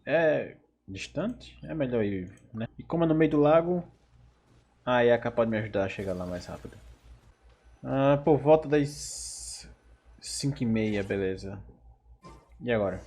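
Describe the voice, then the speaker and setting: A man's voice: mid-range voice, expressive, moderate pace, pauses often, darkish tone, slightly rough. A Brazilian man giving casual let's play commentary as a gaming host, with a Brazilian Portuguese accent.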